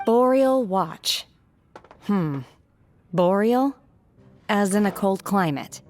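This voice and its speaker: A woman voicing an aloof young woman who lives secluded in the mountains, calm, detached and literal-minded, in a game cutscene. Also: medium pitch, slow pace. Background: soft background game music.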